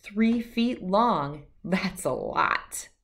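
A middle-aged woman reads a rhyming picture book for children. Her voice sits at an average pitch and she takes the lines unhurriedly. The delivery has a storybook sing-song that follows each rhyme. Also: animated, extremely slow.

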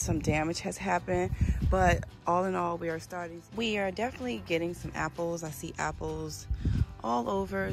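A chatty woman talks viewers through her backyard garden, recorded outdoors on a handheld phone. Her voice has a gravelly, fry-edged texture, and clear music plays underneath. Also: fairly low pitch. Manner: chatty, addresses viewers as you guys. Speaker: gardening channel host. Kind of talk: casual garden tour walkthrough. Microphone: handheld phone camera.

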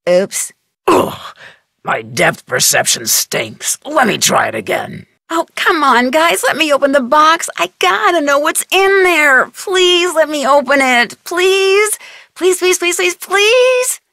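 A woman voicing an inquisitive, polite young female detective in a video game, with expressive delivery. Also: sits high, takes it slowly, no echo, studio quality, heavily acted. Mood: puzzled, then pleading.

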